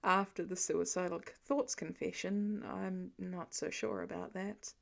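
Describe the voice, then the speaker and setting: Woman voicing a gentle, weary dad, pitched low. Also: little pitch movement, measured pace, gravelly. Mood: subdued, heavy. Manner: low-key, plain-spoken, dry. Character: gentle, weary middle-aged father, quietly caring.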